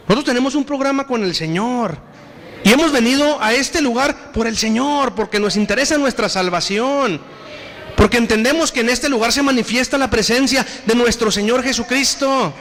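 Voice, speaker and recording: High-voiced Mexican preacher in a reverberant hall. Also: male voice, a bit bright. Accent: Mexican Spanish. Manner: preaching with repeated appeals to the congregation, slow emphatic scripture reading. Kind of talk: sermon. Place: church hall.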